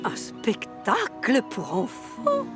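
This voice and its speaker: A woman's voice: a little high, huge pitch swings, slow pace, heavily acted. A woman voicing a vain, theatrical female railcar in a children's cartoon. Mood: puzzled. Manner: haughty, theatrical. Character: vain, proud female railcar, dramatic and easily dismayed. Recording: music behind the voice.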